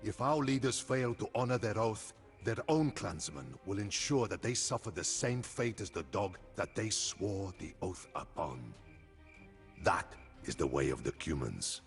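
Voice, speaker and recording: Deep-voiced steppe khan, grave and vengeful; gravelly game cutscene narration over music. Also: male voice. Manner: solemn, ominous, deliberate. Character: grave, vengeful Cuman khan recounting his people's war. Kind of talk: dramatic scripted storytelling narration. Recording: music behind.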